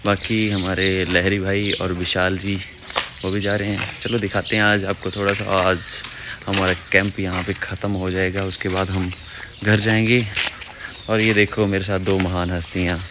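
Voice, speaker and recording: A man's voice: sits low, little pitch movement, normal speed, heavy background noise. Chatty male vlogger outdoors. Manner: chatty, showing viewers around. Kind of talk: casual vlog narration. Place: outdoors at a lake water-sports camp.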